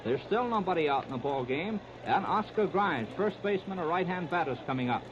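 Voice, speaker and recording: A man's voice: on the high side, lively intonation, fairly quick. A man calling baseball play-by-play for 1930s radio, narrating in clipped, present-tense phrases. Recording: scratchy, noisy, muffled 1930s radio recording.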